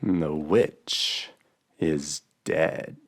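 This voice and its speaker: A man voicing a sinister husband in a horror story, solemnly intoning in a drawn-out, menacing way. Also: rumbling low, steady tone, full character voice. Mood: solemn, eerie.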